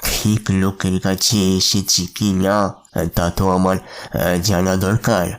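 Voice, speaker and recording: An actor playing a troubled, humble middle-aged businessman, speaking slowly and deferentially in an audio drama. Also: low voice, level tone, clear, resonant tone. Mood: cautious, probing. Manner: slow, deferential, halting delivery. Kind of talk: dramatised audio story acting. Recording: music under the voice.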